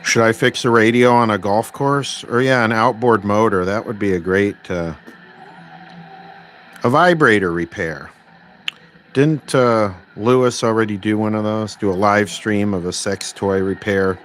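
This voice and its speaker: Low-pitched man rambling over background noise. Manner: rambling, halting, thinking aloud. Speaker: livestream host, electronics repair hobbyist. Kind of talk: casual unscripted livestream chat, reading and answering viewer requests. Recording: clear steady background noise.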